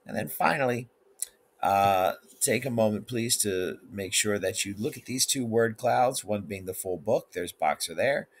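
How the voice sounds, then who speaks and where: A man's voice: fairly low pitch, expressive, unhurried. A male teacher, guiding students through an instructional read-aloud lesson.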